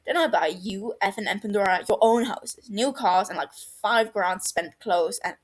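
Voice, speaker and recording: A woman voicing a cheeky, excitable, foul-mouthed British man in his twenties in an audio story. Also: mid-range voice, moderate pace, lightly acted, low-quality recording. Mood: cheeky, boastful. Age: 20s. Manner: sweary, argumentative, boisterous. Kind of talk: fan fiction audio story dialogue.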